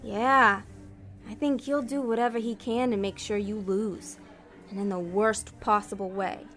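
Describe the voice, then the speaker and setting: A woman playing a scheming, bossy female agent of Hell in a comedic audio drama, brisk, persuasive and easily exasperated. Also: medium pitch, steady tone, fairly bright tone, soft background music, natural voice. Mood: manipulative.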